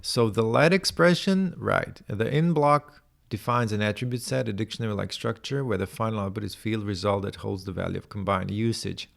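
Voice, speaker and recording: A man's voice: on the low side, normal speed, gravelly, hardly any pauses. A man working through a coding tutorial by thinking aloud. He talks hesitantly, mutters to himself and trails off. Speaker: programming tutorial presenter.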